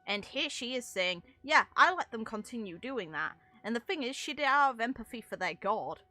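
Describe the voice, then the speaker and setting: A woman's voice: a little high, expressive, fairly quick, pushed and bright, soft background music. A woman talking casually and analytically through game lore, with opinionated, rambling asides. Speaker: content creator commentating on a game.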